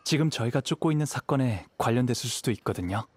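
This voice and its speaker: An actor playing a calm, polite young wandering samurai in a video game, speaking in a courteous, thoughtful way. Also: male voice, mid-range voice, fast talker, no room sound. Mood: composed, serious. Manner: courteous, composed, explanatory. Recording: clear background music.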